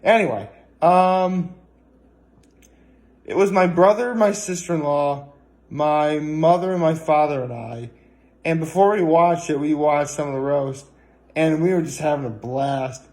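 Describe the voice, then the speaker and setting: Male vlogger, pitched a bit high, conversational and hesitant, wandering delivery with halting pauses. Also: a little mellow. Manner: hesitant and rambling, with frequent pauses and fillers. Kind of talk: casual vlog talk.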